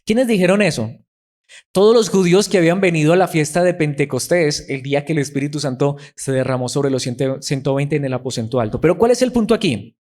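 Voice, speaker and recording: A man preaches in a fairly high voice, rising and falling as he acts out the people he quotes. He fires rhetorical questions at his listeners. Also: animated, fast, darkish tone. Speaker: pastor. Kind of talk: sermon.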